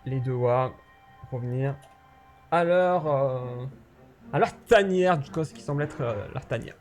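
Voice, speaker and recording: A man's voice: mid-range voice, measured pace, soft background music. A man running a tabletop game as game master, talking in a hesitant way with many restarts; French is not his first language.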